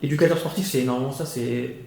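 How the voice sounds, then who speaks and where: A man's voice: medium pitch, quick pace, gravelly. Male guest, 30s, conversational storyteller, echoey room. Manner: animated storytelling with many restarts and fillers. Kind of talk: conversational life-story interview.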